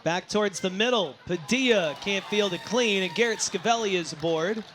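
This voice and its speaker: A man doing live baseball play-by-play commentary as the announcer, who gets excitable and shouts on big plays. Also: high-pitched, moderate pace, a little hiss or hum. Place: sports broadcast at a baseball game.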